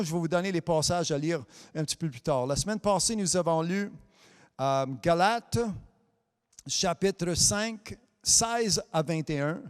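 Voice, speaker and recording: A man preaching a sermon in a high voice, his first language English. He fills his delivery with amen and hallelujah, breaks off to restart phrases, and drifts into a sing-song lilt. His voice carries a long reverb tail like a church hall. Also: clear, resonant tone.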